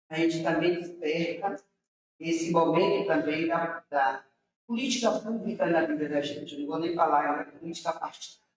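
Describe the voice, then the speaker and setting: Woman, echoey distant mic. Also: lowish voice. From interior of Bahia, Brazil. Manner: earnest, rambling with restarts. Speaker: city councilor guest. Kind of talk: casual storytelling to a young audience. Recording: very echoey room, far from mic.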